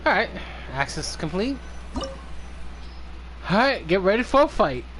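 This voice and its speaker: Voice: mid-range voice, big pitch swings, a little slow, fairly bright tone, a little hiss or hum, low-quality recording. A game streamer chatting casually, in a teasing, sweary way. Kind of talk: casual live gaming chat.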